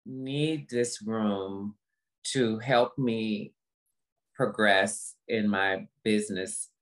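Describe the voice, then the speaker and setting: Woman w a low voice, guest on a video call interview, opening up in an emphatic reflective way. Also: very slow. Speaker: interview guest, motivational speaker. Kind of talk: reflective personal talk in an interview.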